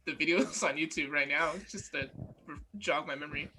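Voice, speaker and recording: A man in his twenties, a guest on a casual chat show, talking in a soft-spoken, laid-back way. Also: a little high, fairly even intonation, a little fast, a crowd in the background, poor audio. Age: 20s. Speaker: podcast guest.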